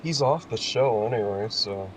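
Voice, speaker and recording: A man's voice: lowish voice, moderate pace, faint music behind. A man chatting casually with friends in a dry, wisecracking manner.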